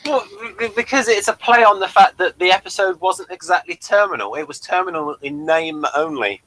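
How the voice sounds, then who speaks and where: A man co-hosting a casual review chat, explaining his points in a halting way with repeats. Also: sits high, a bit bright, dry recording, low-quality recording. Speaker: podcast co-host reviewing a TV show.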